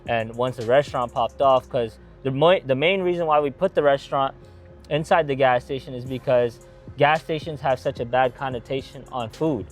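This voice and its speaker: A young man from Atlanta talks through his family business in a relaxed, eager ramble, full of "like" and "you know". His voice sits at an ordinary middle pitch. Faint music plays under the conversation. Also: moderate pace. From Atlanta, Georgia. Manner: eager, rambling storytelling with many fillers. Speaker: gas station and restaurant owner. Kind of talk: casual interview answers.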